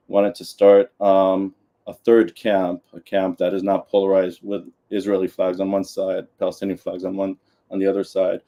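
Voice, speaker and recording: A man giving a talk as a community activist, hesitant with many pauses and restarts. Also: sits low, dark tone. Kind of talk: public talk at a community event.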